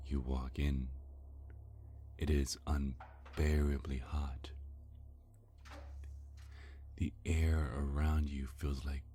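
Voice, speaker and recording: Very deep, slow, mellow male narrator. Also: slight background noise. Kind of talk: scripted ASMR story narration in second person.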